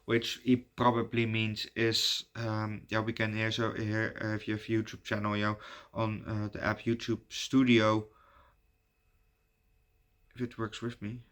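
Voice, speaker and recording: A Dutch man, native Dutch speaker, hosting his channel in halting casual chat full of fillers and restarts. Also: fairly low pitch, completely flat delivery, a bit bright. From Netherlands. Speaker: YouTube reviewer giving shout-outs.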